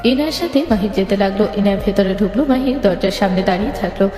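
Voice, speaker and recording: Casting: female story narrator, medium pitch, reverberant sound with clear music underneath. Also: quick pace, dark tone.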